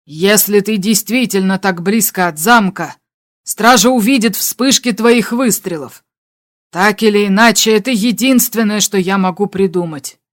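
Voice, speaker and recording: An audiobook figure of a male spaceship crewmate, acted by a woman, talking over the radio at a middling pitch. He is concerned but steady, giving earnest and urgent advice. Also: measured pace, natural voice. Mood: urgent advising. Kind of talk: audiobook character dialogue.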